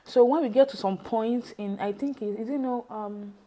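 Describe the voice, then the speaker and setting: A Nigerian woman, Yoruba by first language, recounts her story in English. Her voice sits slightly high yet has a soft, mellow warmth. She talks loosely and circles back on herself, with plenty of fillers and restarts. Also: moderate pace, poor audio. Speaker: guest telling her migration story. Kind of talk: conversational first-person storytelling.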